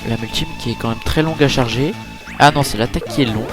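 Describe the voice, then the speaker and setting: A man giving casual, chatty let's-play commentary over a game, full of fillers and quick reactions. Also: medium pitch. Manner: chatty, filler-heavy, easily impressed. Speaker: gaming YouTuber. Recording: game music playing behind.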